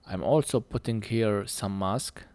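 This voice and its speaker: Man, non-native English, lowish pitch, unhurried halting tutorial delivery, short of breath, dry sound. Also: darkish tone, smooth, no echo. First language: not English. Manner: halting, short phrases with pauses. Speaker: phone repair technician presenting a tutorial. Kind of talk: hands-on repair tutorial, unscripted commentary.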